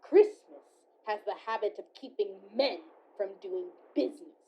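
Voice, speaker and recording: Woman as husky, dour businessman. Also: mid-range voice, normal speed, highs cut off. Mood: cold, disdainful. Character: stern, dour businessman Scrooge, coldly dismissive. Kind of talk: radio play acting.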